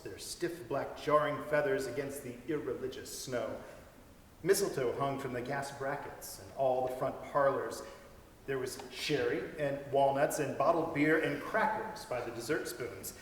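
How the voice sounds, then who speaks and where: A man giving an expressive dramatic reading of a story, lingering over its phrases. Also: fairly high pitch. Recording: echoey hall with faint noise.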